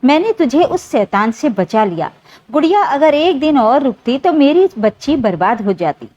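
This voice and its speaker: A woman's voice: high voice, big pitch swings, normal speed, mellow. A woman narrator voicing an anxious, protective widowed village mother in a story, earnest and tender. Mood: emotional, relieved. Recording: dull, lo-fi sound.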